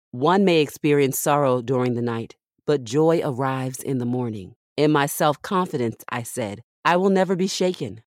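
A woman with a low-pitched voice reads scripture aloud for a devotional podcast. The recording is dry and close, with very little room sound around her voice. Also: a little mellow, dry recording. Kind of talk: scripted devotional Bible reading.

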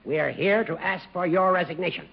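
A man's voice: sits high, creaky, slightly rough, heavily acted. A man playing a dry, formal, needling older judge in a 1950s radio sitcom. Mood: cool, stern. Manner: formal, measured, mock-solemn. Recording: muffled vintage radio recording.

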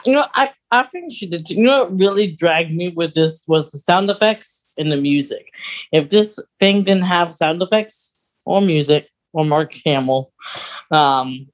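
Medium-pitched voice, podcast co-host, casual chat, hesitant, rambling delivery. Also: a little slow.

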